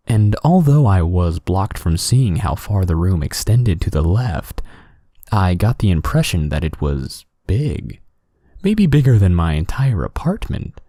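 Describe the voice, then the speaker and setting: Soft, mellow man reading horror stories. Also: low-pitched, dry recording, studio quality. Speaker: narrator. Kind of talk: scripted horror story narration, relaxing ASMR-like bedtime reading.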